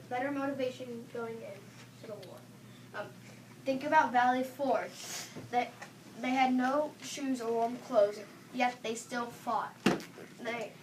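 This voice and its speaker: A woman's voice: on the high side, fairly bright tone. A young female student giving reasons in a classroom debate. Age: child or young student. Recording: distant mic, clearly noisy room.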